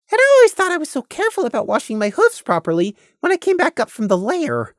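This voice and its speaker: A woman's voice: high-pitched, huge pitch swings, quick pace, dry recording, studio quality, pitched above the performer's own voice. A woman voicing a cautious, earnest young male pony with a careful, hesitant manner in an audio story. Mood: nervous, awkward.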